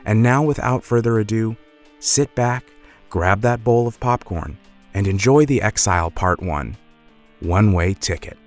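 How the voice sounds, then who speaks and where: A man's voice: lowish voice, measured pace, soft background music. A man reading a scripted introduction as the announcer of an audio drama podcast.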